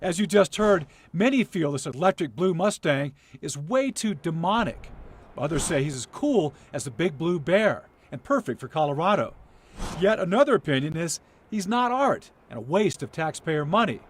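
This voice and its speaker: A man's voice: on the high side, very animated, moderate pace, a little hiss or hum. A man narrating a scripted TV news feature as its reporter, telling it in a playful, dramatic way.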